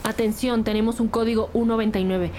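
An actress playing an authoritative, protective senior police detective in a crime audio drama, with a brisk way of talking. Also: female voice, on the high side, steady tone, mellow, slight background noise, lightly acted. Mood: urgent. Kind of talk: scripted crime drama acting. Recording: studio drama with music underneath.

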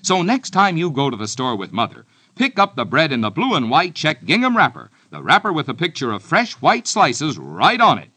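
A man announcing a vintage radio commercial, cheerfully addressing children. His voice is bright and lively, and the sound is dry and close. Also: fairly high pitch, normal speed, hardly any pauses, slightly rough, dry recording.

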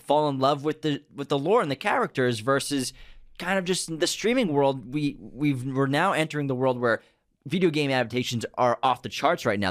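A man co-hosting a podcast, giving his opinions in casual discussion. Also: on the high side. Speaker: podcast co-host.

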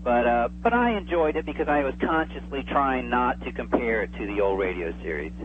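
A man's voice: medium pitch, lively intonation, brisk. A man chatting casually as an interview guest over the telephone, in a hesitant, stammering manner. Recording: thin phone line with hum.

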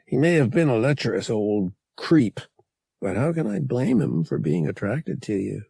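A man's voice: mid-range voice, sing-song, normal speed, vocal fry, warm tone, slightly rough, no echo, heavily acted. A man voicing a young roguish space adventurer in an audiobook, casual and wry, with slangy speech. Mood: wry, tender.

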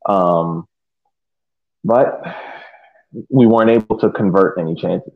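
A man co-hosting a casual sports podcast, thinking aloud haltingly as he analyses play, heard over a tinny phone-like connection. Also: low-pitched. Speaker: podcast co-host.

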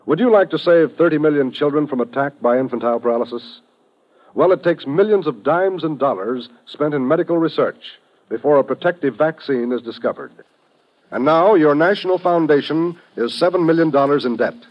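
A man's voice: on the high side, lively intonation, a little fast. An American man giving a formal, earnest scripted announcement in 1950s radio style. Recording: muffled old radio broadcast.

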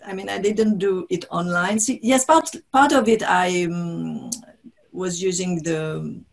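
A woman whose native tongue is French speaks English in a relaxed interview, her voice carrying a slight gravelly fry. She talks in halting bursts, correcting herself midway and laughing softly between thoughts. The sound is that of a video call. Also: on the low side. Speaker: author, guest. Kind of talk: conversational interview.

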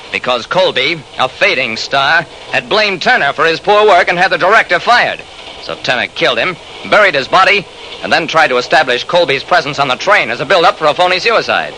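An actor playing a brisk, methodical railroad detective who narrates his case in an emphatic old-time radio mystery style. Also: on the high side, pushed and bright, heavily acted. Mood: explanatory. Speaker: narrator and lead investigator. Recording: noisy old radio drama recording.

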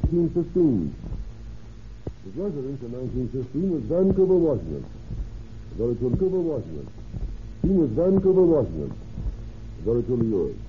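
An actor playing a confident, methodical private detective in an old-time radio drama, musing and deliberate. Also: male voice, mid-range voice, big pitch swings, close to an everyday voice. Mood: businesslike. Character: methodical, confident male private sleuth dictating to his secretary. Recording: tinny, noisy vintage radio recording.

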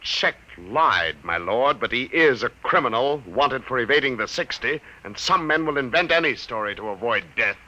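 An actor playing a smooth, scheming male high minister in a 1950s radio drama. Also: expressive, normal speed, hardly any pauses, sharp tone, poor audio. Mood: oily. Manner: smooth, insinuating.

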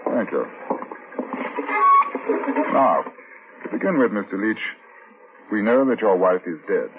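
Coolly relentless police inspector, tinny recording. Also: male voice, fairly low pitch, sing-song. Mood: polite, probing. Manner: measured, formal, politely probing. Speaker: detective and narrator. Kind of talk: old-time radio drama acting. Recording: tinny, hissy vintage radio recording.

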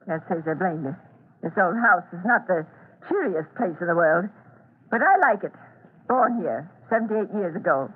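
An eccentric old widow from a spooky mansion, in a classic radio drama. Her voice is husky and leaps around in pitch as she speaks in abrupt, blunt mutters. The recording is thin and tinny, like an old wireless. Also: expressive. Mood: dryly morbid. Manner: abrupt, halting, muttering asides.

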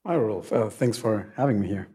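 A man's voice: fairly low pitch, lively intonation, normal speed, dark tone, husky. A man from Argentina, an author and researcher, answering interview questions hesitantly with many fillers and small laughs.

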